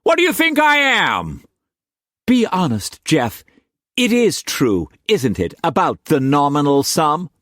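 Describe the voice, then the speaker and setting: A man voicing a distraught young woman of twenty who pleads in a high, shrill voice. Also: wildly swooping pitch, slow pace, a bit bright, no echo, studio quality, heavily acted. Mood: pleading, distraught.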